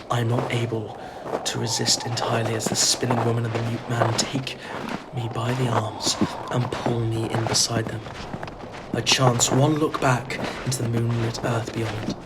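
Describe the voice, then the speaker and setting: A man's voice: mid-range voice, close to an everyday voice, low-quality recording. An actor playing an uneasy, hesitant man narrating a gothic horror audio drama in the first person. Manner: hesitant, faltering, apprehensive. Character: uneasy male narrator drawn into a sinister festival.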